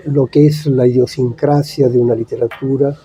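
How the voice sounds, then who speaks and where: A man in his seventies, a native Italian speaker, giving thoughtful, measured interview answers with frequent pauses. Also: medium pitch, steady tone, dark tone, poor audio. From Italy. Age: 70s. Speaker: guest writer.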